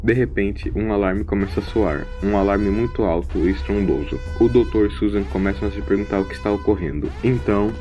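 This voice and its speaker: A man's voice: fairly low pitch, brisk, few pauses, dark tone, lo-fi sound. A man reading scripted narration for an audio drama in a storytelling style. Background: faint music.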